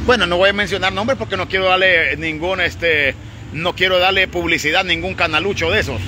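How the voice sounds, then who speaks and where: A man's voice: sits high, fairly quick, a crowd in the background. A Honduran man who hosts a charity channel, bluntly lecturing someone on a night street, filmed on a phone. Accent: Honduran Spanish. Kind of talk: scolding street talk. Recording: noisy night street recording.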